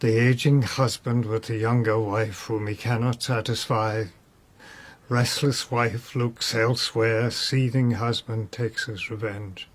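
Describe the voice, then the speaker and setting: An actor playing a whimsical, evasive older nobleman in a radio drama, musing with gentle irony. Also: medium pitch, flat delivery, measured pace, lightly acted. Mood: amused. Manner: musing, playful, gently ironic. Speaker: suspect in a radio play. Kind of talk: British radio drama acting.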